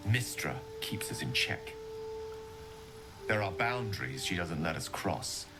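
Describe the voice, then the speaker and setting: An actor playing an eloquent, wistful wizard in a video game, a man speaking in measured, confessional monologue. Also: low voice, sing-song, full character voice. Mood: yearning, reflective. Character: eloquent, wistful male wizard, once a prodigy. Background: music.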